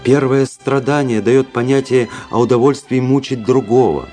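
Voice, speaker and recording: An actor playing a proud, cynical, world-weary young aristocratic officer in a Russian radio drama, speaking in a measured, rhetorical way. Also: male voice, medium pitch, fairly even intonation, mellow, soft background music, natural voice. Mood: coolly reflective.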